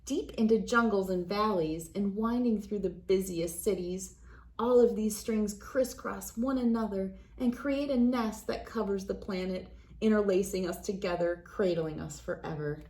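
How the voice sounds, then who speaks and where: A woman reading a children's picture book aloud as host, with expressive, deliberate storytelling phrasing. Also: mid-range voice.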